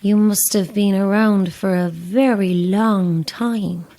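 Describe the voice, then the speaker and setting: A grieving mother in an audio horror drama, worn down and wary. Her mid-pitched voice moves slowly and haltingly, subdued and uneasy. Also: steady tone, mellow. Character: weary, grieving mother posing as make-up saleswoman, guarded and uneasy.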